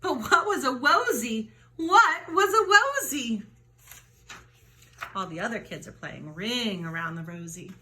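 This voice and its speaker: High, bright-voiced woman reading a children's story. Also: lively intonation. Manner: dramatic emphasis, drawn-out key words. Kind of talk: animated children's storybook read-aloud.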